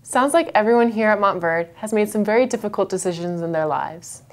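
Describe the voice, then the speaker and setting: A teenage girl hosting a student news show, trading dry, deadpan banter from a script. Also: medium pitch, brisk. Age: teens.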